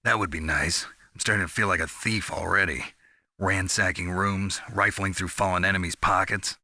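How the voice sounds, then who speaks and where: Very husky, gruff male soldier. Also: low voice, normal speed, no room sound, studio quality, heavily acted. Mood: wry. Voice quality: gravelly, husky. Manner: terse, wry, deadpan. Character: gruff, world-weary male covert soldier. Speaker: soldier on a mission. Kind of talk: scripted video game voice acting.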